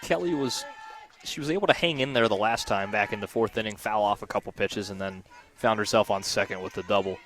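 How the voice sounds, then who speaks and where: A man giving conversational color commentary on a softball game, explaining plays and now and then repeating his words. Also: fairly low pitch, fairly quick. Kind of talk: analytical sports commentary.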